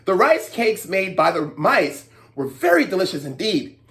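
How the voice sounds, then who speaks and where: A woman telling a story to young children, expressive, with playful asides and exclamations. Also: on the low side, moderate pace.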